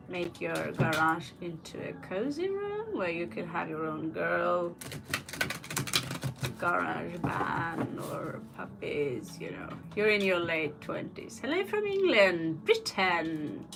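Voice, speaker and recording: Woman, tarot reader, unhurried chatty rambling, soft music underneath. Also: lowish voice, expressive, creaky. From Norway. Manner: rambling, personal asides, reads out viewer questions. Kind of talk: live tarot reading, chatty answers to viewer questions. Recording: faint music behind the voice.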